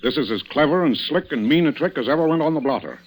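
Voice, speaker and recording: An actor playing a shrewd, brisk, sardonic police inspector in an old-time radio suspense drama. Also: male voice, on the high side, lively intonation, a little hiss or hum, close to an everyday voice, low-quality recording. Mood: hard-nosed. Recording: vintage radio broadcast recording.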